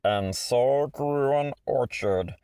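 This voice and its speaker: A man's voice: medium pitch, slow, dark tone, clean tone, dry recording, clean studio sound. A man reading a rhyming children's picture book aloud in a theatrical, rhythmic way, stretching words for effect. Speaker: storybook reader.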